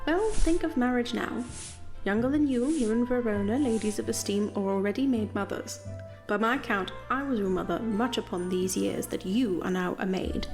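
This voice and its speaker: A woman's voice: on the high side, normal speed, soft background music, lightly acted. Woman as a composed, commanding noble mother. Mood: persuasive, formal. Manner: formal, poetic, persuasive. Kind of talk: Shakespearean audio play acting.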